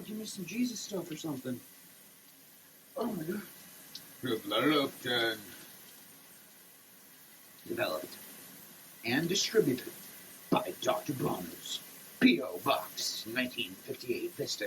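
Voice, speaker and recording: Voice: medium pitch, a bit bright. A performer giving a comedic dramatic reading of label text, theatrical, moving between declaiming and muttered asides, choking as water soaks them. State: choking, coughing, gasping while being soaked with water. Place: shower, indoors. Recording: noisy room with running shower water.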